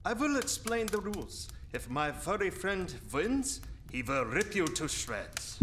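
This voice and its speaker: An actor playing a courtly, menacing vampire in a comic Halloween radio play. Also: male voice, a little high, gravelly, noisy background, exaggerated voice. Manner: theatrically menacing. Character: menacing, courtly cloaked male vampire with fangs.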